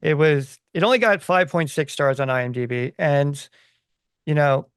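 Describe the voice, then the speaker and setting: A man with a fairly high-set voice discusses things casually on a podcast. He explains conversationally, with halting phrasing. The sound is dry, with very little room in it. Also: smooth, no echo. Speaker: podcast co-host.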